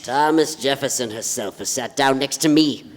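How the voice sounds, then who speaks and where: An actress playing a pompous, whimsical sleuth with florid speech in a live play reading. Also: female voice, rumbling low, normal speed. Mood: grandly whimsical. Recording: echoey theatre hall, live stage.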